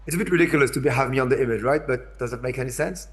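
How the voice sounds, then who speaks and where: A man's voice: mid-range voice, racing, husky. French-accented male speaker on a video call; hesitant, restarts a lot, keeps asking the room if they follow. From France. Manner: hesitant, repeats words, checks in with listeners. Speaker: invited speaker, curator. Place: in São Paulo, remote.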